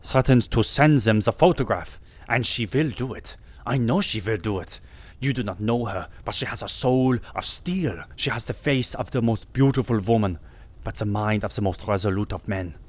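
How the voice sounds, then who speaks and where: A man's voice: lowish voice, normal speed, close to an everyday voice. A man voicing an anxious, imperious king of thirty in a solemn, formal manner for an audiobook. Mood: anxious, emphatic.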